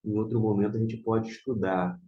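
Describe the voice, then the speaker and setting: A man's voice: lowish voice, steady tone, brisk, mellow. A man with a Brazilian Portuguese accent giving an informal tutorial as a language teacher, didactically repeating and sounding out words. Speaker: Biblical Hebrew teacher. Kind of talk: informal screen tutorial lesson. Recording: muffled, echoey room.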